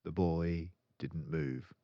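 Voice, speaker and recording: An older man narrating a children's story aloud in an expressive storytelling style. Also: extremely low pitch, slow pace, dry recording, lo-fi sound. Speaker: storyteller reading a children's book.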